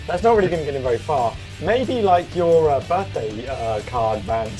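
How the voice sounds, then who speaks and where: A man co-hosting a casual comedy review, croaky from a sore throat and teasing dryly. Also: a little high, animated, normal speed, darkish tone, soft background music. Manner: dry, teasing. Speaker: co-host of a review show.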